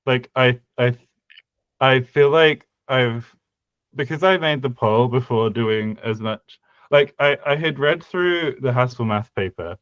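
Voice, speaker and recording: Slow, hesitant man with a smooth voice. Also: mid-range voice, fairly bright tone, no echo, dull audio. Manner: hesitant, lots of fillers and restarts. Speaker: podcast co-host. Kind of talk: casual conlang design discussion.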